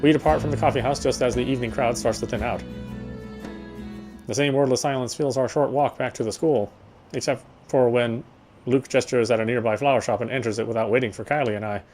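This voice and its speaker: Guy reading out a visual novel story, quick pace, little stumbles, faint music under it. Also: mid-range voice, fairly even intonation. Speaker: video game player reading a visual novel aloud. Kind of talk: narrating first-person story text aloud.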